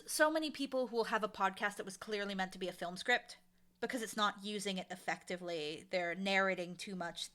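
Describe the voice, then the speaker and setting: A woman talking casually and with opinions as an interview guest, animated and acting out quotes to make her points. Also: medium pitch. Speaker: audio drama showrunner, interview guest.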